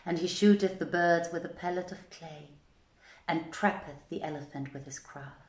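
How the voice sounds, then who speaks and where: A woman's voice: on the low side, moderate pace, a little mellow, natural voice. A woman voicing a frightened female duck from a storybook, solemn and emphatic in archaic words, in a roomy, echoey recording. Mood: grave, warning.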